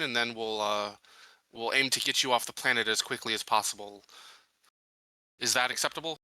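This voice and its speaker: A man playing a tabletop roleplaying game, improvising in character with halting, formal wording. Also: medium pitch, bright, forceful tone, smooth, dry recording.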